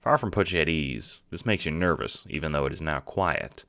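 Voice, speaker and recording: Very deep-voiced audio drama narrator. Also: lively intonation, fairly quick, fairly bright tone, no echo, studio quality.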